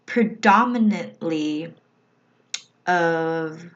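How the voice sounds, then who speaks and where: A woman from the Philippines vlogging in a casual, reflective way, often pausing and restarting her thoughts. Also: on the low side. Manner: slow, reflective, with frequent restarts. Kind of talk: personal casual vlog talk. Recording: slightly dull lo-fi recording.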